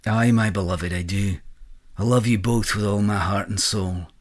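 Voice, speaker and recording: A man's voice: fairly low pitch, steady tone, normal speed, natural voice. A man playing an entranced guard in a radio play, dreamy, obedient and hopelessly devoted. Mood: dreamy, adoring. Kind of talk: audio drama acting.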